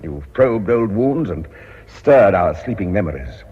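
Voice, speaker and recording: An actor playing a grave, reproachful English clergyman in a radio drama, formal and sermonizing, with a hoarse, breathy voice. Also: lowish voice, sing-song, unhurried, dark tone, exaggerated voice. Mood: solemn. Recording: muffled radio drama, reverberant hall.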